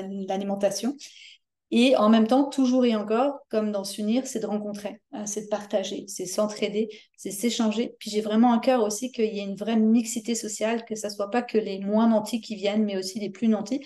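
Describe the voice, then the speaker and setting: French woman with a mellow voice. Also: mid-range voice. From France. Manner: thoughtful, frequent hesitations. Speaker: community project founder. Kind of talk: conversational interview.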